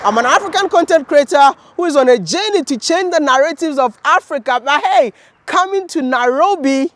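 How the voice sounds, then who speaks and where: A man's voice: very high voice, brisk, no echo. A man from Ghana, hosting an upbeat travel vlog and interviewing people. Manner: excited, upbeat greetings. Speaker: vlogger and interviewer.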